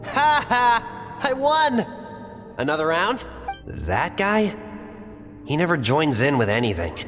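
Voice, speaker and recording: An actor playing a taunting, dismissive child at play in a video game. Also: male voice, a little high, big pitch swings, slow, fairly bright tone, exaggerated voice. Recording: distant, long reverb, music behind.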